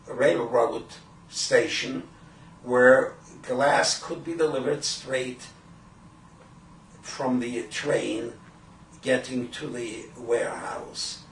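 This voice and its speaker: An elderly man from Poland in his eighties, a Yiddish speaker, recalling his early life in a halting, deliberate way for an oral history. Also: mid-range voice, fairly bright tone. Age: 80s. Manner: halting, deliberate, frequent throat clearing. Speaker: Holocaust survivor giving testimony. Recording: roomy, distant microphone with faint noise.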